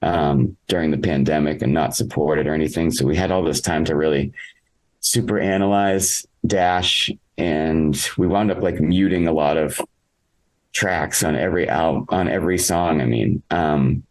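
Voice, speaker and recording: Male musician for a podcast interview: very low voice, casual, halting, thoughtful delivery. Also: fairly even intonation, a little mellow, poor audio. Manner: halting, reflective, thinking aloud. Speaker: interviewed musician, singer in a band.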